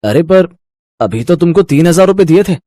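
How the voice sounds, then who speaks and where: An actor playing a practical young husband in a cartoon family story, speaking in a reasoning manner. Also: male voice, on the high side, expressive, moderate pace, dark tone, no echo, natural voice. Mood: exasperated. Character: young husband, practical, exasperated about money. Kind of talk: Hindi cartoon story dialogue.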